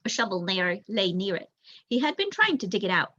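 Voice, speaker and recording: Bright-voiced young woman reading a story. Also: mid-range voice, very animated, a little fast, dry recording, dull audio. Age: young adult. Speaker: volunteer audiobook reader. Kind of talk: storybook narration read aloud.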